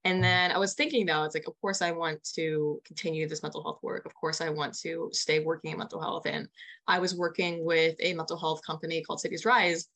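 Young American woman, early twenties, lower-pitched voice, chatty and enthusiastic interview guest. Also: fairly even intonation, a little fast, fairly bright tone. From United States. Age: early 20s. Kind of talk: casual spoken interview answers.

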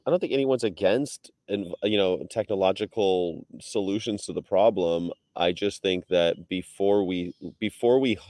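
A man who is a touring animal rights activist, talking in a relaxed, slangy, casual way over a video call. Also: low voice, dry recording. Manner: laid-back slangy talk. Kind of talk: casual conversation.

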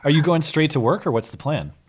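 A man's voice: on the high side, fast talker, slightly rough. A man hosting a live call-in show, talking with callers in a casual, wry and sarcastic way.

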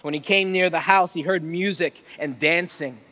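Male preacher, bright forceful voice, informal and playful delivery, big echoing room. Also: on the high side, normal speed. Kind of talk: sermon with casual humour and scripture reading. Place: large reverberant room before a live audience. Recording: long hall reverb.

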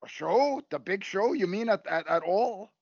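A man as high-voiced stammering Canadian sidekick. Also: expressive, normal speed, vocal fry, no echo, highs cut off, exaggerated voice. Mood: exasperated. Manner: stammering, hesitant, repeating words. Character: excitable, bumbling Canadian wrestling sidekick. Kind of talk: comedic audio play acting.

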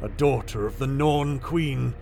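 Man playing a smug, creaky-voiced traitor soldier. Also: mid-range voice, darkish tone, a little hiss or hum. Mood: smug menace. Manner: condescending, deliberate. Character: smug, menacing male traitor soldier, coldly gloating. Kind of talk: audio drama acting.

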